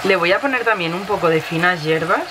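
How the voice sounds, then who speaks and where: A woman in her thirties with a Spain Spanish accent, slightly hoarse from a cold, casually narrating a recipe as she cooks in her home kitchen. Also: lowish voice, a little fast. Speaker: home cooking vlogger. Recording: noisy kitchen with sizzling pan. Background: frying and stirring sounds.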